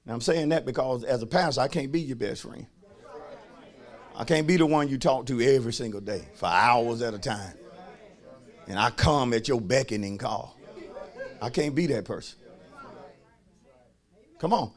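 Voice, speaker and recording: A man's voice: mid-range voice, normal speed, long pauses, some chatter behind. A man preaching as a pastor, emphatic, repeating phrases and calling on his listeners to respond. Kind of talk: sermon.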